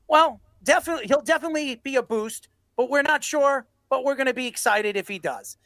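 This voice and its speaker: Sports radio type host, gravelly creaky voice, super emphatic and opinionated, dry close sound. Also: medium pitch, sharp tone, no echo. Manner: emphatic, declamatory. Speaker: sports talk show host.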